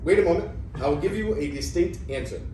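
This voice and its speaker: A man's voice: fairly high pitch, lively intonation, fast, lightly acted. Echoey, pompous old Greek schemer played by a man. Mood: scornful, pompous. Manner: pompous, scoffing, theatrical. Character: crafty, mocking old Greek father, a blustering cheat. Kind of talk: amateur dramatic reading of classical comedy. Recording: echoey room, distant mic, faint noise.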